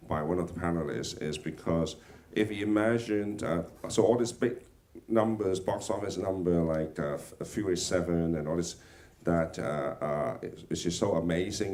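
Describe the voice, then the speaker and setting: A man speaking off the cuff as a film industry panelist, haltingly, with frequent stammers and repeated words. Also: deep voice, a little mellow, distant mic. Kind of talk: unscripted panel remarks. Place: panel at a film market event.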